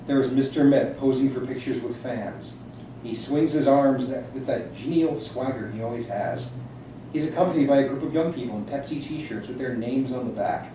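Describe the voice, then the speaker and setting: A man reads a personal essay to an audience in a medium-pitched voice. The room is strongly echoey and he sounds distant from the microphone. Clear noise sits in the recording. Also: normal speed, dark tone. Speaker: reader at a library book reading. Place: indoor room at a public library event.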